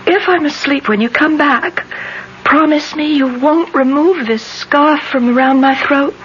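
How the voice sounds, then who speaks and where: Frail young woman, high and very slow, languid, drained, gothic radio play. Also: a bit bright. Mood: pleading. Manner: languid, weary, breathless. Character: frail, drowsy young woman wasting away, pleading. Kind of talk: gothic radio drama acting. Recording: dull old radio drama, faint noise.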